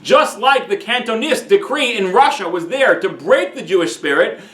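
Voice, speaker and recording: Rabbi guy giving a weekly class, high voice, lots of restarts, echoey room. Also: animated, normal speed, pushed and bright. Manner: storytelling with frequent restarts. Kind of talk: weekly Torah class lecture.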